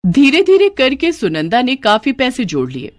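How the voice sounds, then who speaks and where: A woman narrating a children's cartoon moral story in steady, simple scripted storytelling. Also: high-pitched, moderate pace.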